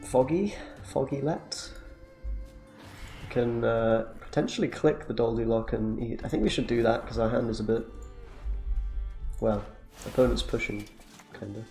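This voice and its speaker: A young man talks casually through his card game from a small room, joking and reacting out loud as he plays. His voice has a gravelly, fry-edged texture, and faint game music runs underneath. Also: mid-range voice, normal speed, long pauses. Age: young adult. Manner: chatty, jokey, reacts aloud to plays. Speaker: streamer. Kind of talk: casual gameplay commentary.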